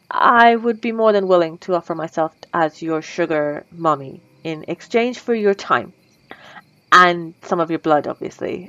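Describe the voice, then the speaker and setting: A woman voicing a refined, possessive female vampire centuries old, speaking in a formal, old-fashioned way in a soft, intimate ASMR roleplay. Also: on the low side, no echo, highs cut off.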